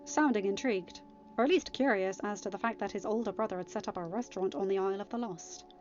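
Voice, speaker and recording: A woman's voice: medium pitch, quick pace, a little mellow, faint music behind. A woman reading fan fiction aloud as scripted audiobook narration. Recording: slightly dull, band-limited home recording.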